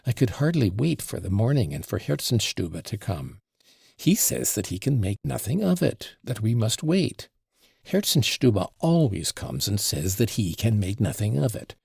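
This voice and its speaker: A man reading aloud and voicing an excitable, fretful middle-aged mother who gushes in a high, swooping voice. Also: normal speed, creaky, breathy edge, no room sound, studio quality, full character voice. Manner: gushing, breathless, high and swooping. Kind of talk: audiobook reading of a classic novel.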